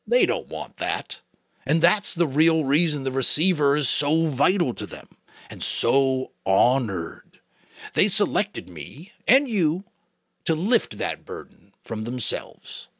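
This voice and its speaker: A man voicing an old male keeper of memories, weary and wise, speaking in a measured, rueful way. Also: medium pitch, big pitch swings, fairly bright tone, no echo, exaggerated voice.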